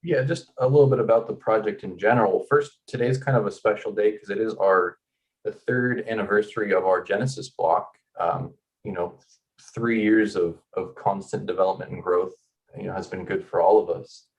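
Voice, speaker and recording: A man from Northern California, founder and core developer, talking about his project in a halting way that repeats words. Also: fairly low pitch, a little mellow, poor audio. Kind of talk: casual presentation.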